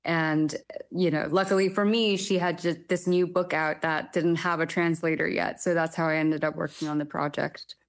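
A young woman from Taiwan, a literary translator, answering interview questions thoughtfully with frequent pauses and ums. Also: sits low, fairly even intonation. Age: young adult. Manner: hesitant, thoughtful, many ums.

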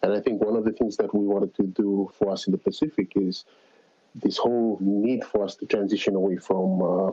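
A man from Fiji, a guest calling in to an interview, talking in a relaxed, halting way with many fillers. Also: lowish voice, breathy edge, no echo, lo-fi sound. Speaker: interview guest, climate organizer. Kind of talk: casual remote interview.

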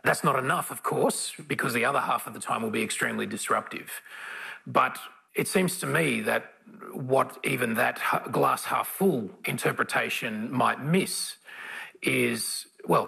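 A man moderating a panel conversation, asking measured questions. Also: medium pitch, vocal fry, pushed and bright, slightly rough, low-quality recording. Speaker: moderator, host.

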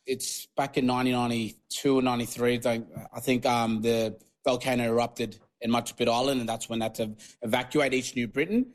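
A man's voice: mid-range voice, steady tone, fairly quick, hardly any pauses. Papua New Guinea-born man, rambling. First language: Tok Pisin. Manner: rambling, many fillers and restarts. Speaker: guest, former rugby league player. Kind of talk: casual podcast interview.

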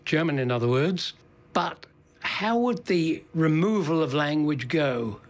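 A man's voice: on the high side, lively intonation, a little slow. An older man interviewing in a conversational way, probing and thinking aloud as he puts his questions.

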